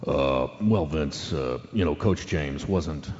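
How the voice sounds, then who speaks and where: A man playing a dim, earnest ex-football player on a sports radio show, halting as he states the obvious, in a radio drama. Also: very deep voice, lively intonation, darkish tone, full character voice. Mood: earnest, explaining. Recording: dull lo-fi sound, long hall reverb.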